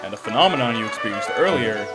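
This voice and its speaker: Guy voicing cocky casual sword mentor in a cartoon fandub, slangy n sure of himself, music really loud behind him. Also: on the low side, animated, fast talker, natural voice. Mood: explaining. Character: brash, confident swordsman mentor coaching a young squire. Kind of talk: fan dub character acting.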